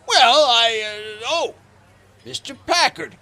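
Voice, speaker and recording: A man's voice: very high voice, expressive, slow, bright, forceful tone, heavily acted. A man playing a defensive farmer protesting his innocence in a comic audio drama, emphatic and theatrical.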